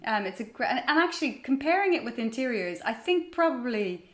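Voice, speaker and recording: A woman's voice: fairly high pitch, very animated, brisk, fairly bright tone. An Irish woman in her forties, a magazine editor being interviewed at home, talking in a chatty, self-deprecating way with many restarts. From Ireland. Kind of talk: casual interview chat. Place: large high-ceilinged room in her house. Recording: echoey room.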